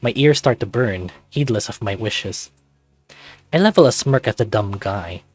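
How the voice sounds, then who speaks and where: A man reading a visual novel aloud as an animated narrator. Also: fairly low pitch, a little slow, no room sound, faint music behind.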